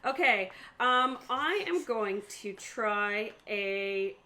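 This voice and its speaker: A woman's voice: mid-range voice, lively intonation, very slow, pushed and bright. A woman narrating a tabletop game casually as game master, hesitant and thinking aloud as she goes.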